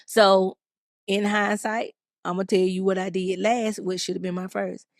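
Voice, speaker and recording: Chatty woman with gravelly voice. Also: mid-range voice, little pitch movement, dry recording, studio quality. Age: 40s. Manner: chatty, drawn-out phrasing with many "you know"s. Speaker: podcast co-host. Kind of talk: casual advice chat, reading a listener letter.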